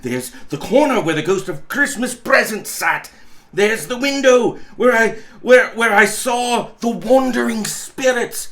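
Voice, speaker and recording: A man's voice: extremely high pitch, unhurried, a bit bright, voice pushed up. A man voicing an overjoyed, newly reformed old miser in a storybook reading, exuberant and stammering with exclamations. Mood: excited wonder.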